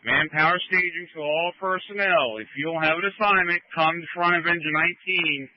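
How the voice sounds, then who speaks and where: A man's fairly high voice comes over a slightly muffled two-way radio with a dry sound. He makes a procedural announcement to fire crews. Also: brisk, no room sound.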